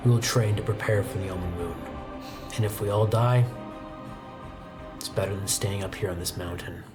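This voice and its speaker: A man's voice: sits low, steady tone, moderate pace, recorded from a distance, lightly acted. A man voicing a stern, grieving sword master in a tabletop game, restrained and grave, with music behind him. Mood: resigned.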